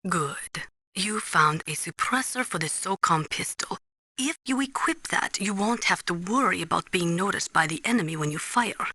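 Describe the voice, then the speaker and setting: A woman playing an earnest, resolute Ukrainian weapons analyst in a video game, speaking gravely and deliberately as if lecturing. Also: on the low side, animated, bright, forceful tone, dry recording. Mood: firm, instructive. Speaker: military advisor briefing a soldier. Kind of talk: dramatic video game voice acting.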